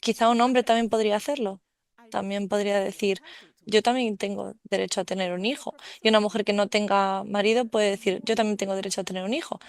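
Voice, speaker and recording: A woman giving a steady, fluent live interpretation of a conference talk. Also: mid-range voice, brisk, smooth, dry recording. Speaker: simultaneous interpreter.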